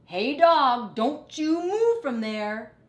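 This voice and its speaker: A bossy, irritable storybook rat, as a woman reader puts it on, calls out loudly and bluntly. The pitch is lifted and lively, and the room rings a little. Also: takes it slowly, reverberant, heavily acted. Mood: bossy, shouting. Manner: gruff, exclaiming, blunt. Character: grumpy, bossy rat snapping at a neighbor.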